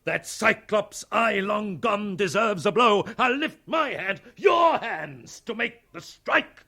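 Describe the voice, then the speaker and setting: An actor playing a blinded, obsessed old starship captain, declaiming grandly and poetically in a 1960s British radio drama. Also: male voice, high-pitched, animated, slow pace, creaky, pushed and bright, heavily acted. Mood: fervent, commanding.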